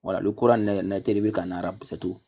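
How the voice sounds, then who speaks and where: A man on a live discussion, explaining step by step and reading passages aloud, his voice dropping low as he closes each point. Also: steady tone, very fast, warm tone. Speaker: debate panelist.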